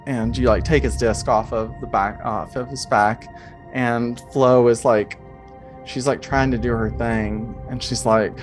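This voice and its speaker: A man acts as game master in a tabletop session. His voice sits at an average pitch, and he tells the scene in a loose, halting, conversational style. Faint music plays behind him. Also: fairly even intonation. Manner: casual, halting, conversational delivery. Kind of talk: improvised tabletop game narration. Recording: music under the voice.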